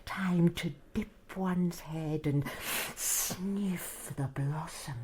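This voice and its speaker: An actress playing a haughty, vain, bloodthirsty countess in a horror audio drama, theatrical and imperious with breathy whispers. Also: female voice, on the low side, drawn-out words, a little mellow. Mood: entranced.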